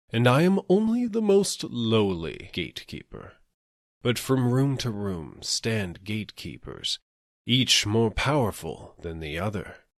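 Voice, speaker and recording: A male narrator puts on a powerful gatekeeper for an audiobook tale, stern and condescending. The voice is low and slow, commanding in its delivery. The sound is dry and close. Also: no echo, heavily acted.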